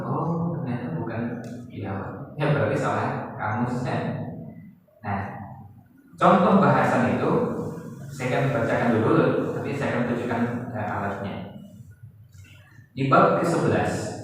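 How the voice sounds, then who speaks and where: A man with an Indonesian accent giving an informal Islamic lecture, explaining step by step and reading from a book. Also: medium pitch. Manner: didactic, step-by-step, asks and answers own questions. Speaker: Islamic teacher. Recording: very echoey hall, distant mic, faint noise.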